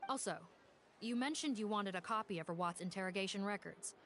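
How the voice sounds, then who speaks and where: Woman playing a businesslike police officer, mid-pitched. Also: fairly bright tone, a little hiss or hum, natural voice. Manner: measured, businesslike, explanatory. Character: dutiful, respectful female police officer. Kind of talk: video game voice acting.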